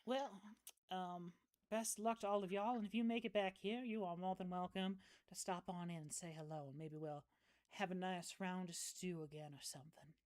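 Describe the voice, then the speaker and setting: A woman's voice: fairly low pitch, steady tone, normal speed, a little mellow, no echo, close to an everyday voice. A woman playing a friendly, folksy village woman who hosts travellers in a tabletop game, speaking hesitantly. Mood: kindly.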